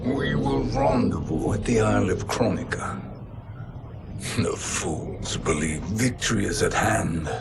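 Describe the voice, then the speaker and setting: Sinister sorcerer villain from a game, deep n slow, condescending, noisy ambience behind. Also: male voice, expressive, gravelly, full character voice. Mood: sly, commanding. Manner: slow, measured, sinister and formal. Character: scheming cold-blooded sorcerer villain, menacing and condescending. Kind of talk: scripted video game cutscene acting.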